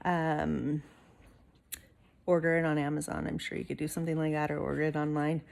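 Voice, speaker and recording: A woman's voice: deep voice, level tone, fairly quick, creaky, a little mellow. A woman reading a storybook aloud expressively to young listeners, with casual asides like a teacher.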